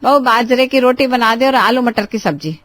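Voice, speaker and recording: A woman's voice: on the high side, moderate pace, a bit bright, no room sound. A woman playing a bossy, scolding middle-aged mother-in-law in a dramatized family story. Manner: scolding, overbearing, theatrical.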